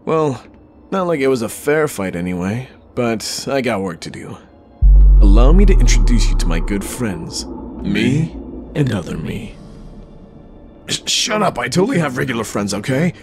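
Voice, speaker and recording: Man playing a slow-talking, cocky flirtatious supervillain. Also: mid-range voice. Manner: teasing, smug, chuckling banter. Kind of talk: ASMR roleplay acting.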